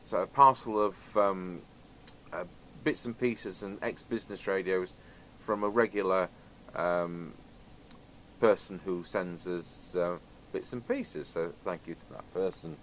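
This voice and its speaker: Chatty man, lowish voice. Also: unhurried, a bit bright. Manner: chatty, rambling, conversational. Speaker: video host and radio technician. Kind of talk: casual unscripted product chat. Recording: clear background noise.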